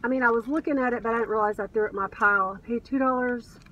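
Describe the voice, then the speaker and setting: A woman's voice: on the high side, fairly even intonation, racing, a bit bright, muffled recording. A middle-aged American woman vlogging casually from inside a car, chatting to camera in a gushing, enthusiastic way. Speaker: reseller vlogger. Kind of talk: casual chat to camera, showing thrift finds. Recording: car cabin, distant mic, background noise.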